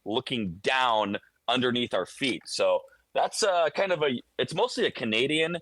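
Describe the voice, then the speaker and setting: A man's voice: mid-range voice, animated, normal speed, fairly bright tone, dry recording. A man talking casually as a hockey radio announcer, explaining things conversationally in a live game-day report. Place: high broadcast booth inside a hockey arena.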